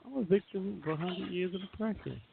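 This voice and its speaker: A man's voice: high voice, fast talker, creaky, a crowd in the background. A man hosting a radio show with casual, rambling, colloquial celebrity-news banter and some swearing. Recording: thin phone-like sound, roomy echo.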